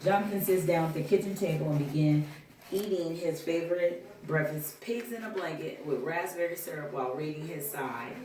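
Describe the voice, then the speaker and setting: A woman's voice: deep voice, moderate pace, warm tone, a little hiss or hum. Woman reading stage directions, roomy sound. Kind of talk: scripted narration at a film table read. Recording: roomy, distant mic.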